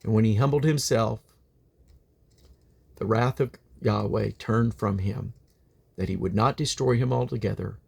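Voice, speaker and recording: Man reading scripture aloud in deliberate phrases. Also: on the low side, gravelly, a little mellow, breathy edge. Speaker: Bible reader.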